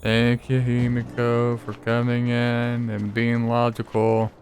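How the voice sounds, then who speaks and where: A man's voice: mid-range voice, monotone, slow, a little mellow, a little hiss or hum. A man giving casual gameplay commentary in blunt, sweary, muttered asides. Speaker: gamer, video creator. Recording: game music behind the voice.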